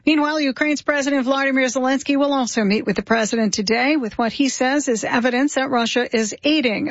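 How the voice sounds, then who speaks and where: A woman reading a radio newscast in a formal, crisp manner. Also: fairly high pitch, no room sound. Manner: crisp, formal news delivery. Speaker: news anchor. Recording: dry, dull radio sound.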